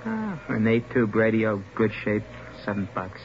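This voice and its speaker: An actor playing a streetwise small-time car thief who grouses in slangy tough talk in a 1940s radio crime drama. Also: male voice, on the low side, sing-song, normal speed. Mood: grumbling. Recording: muffled, noisy old radio recording.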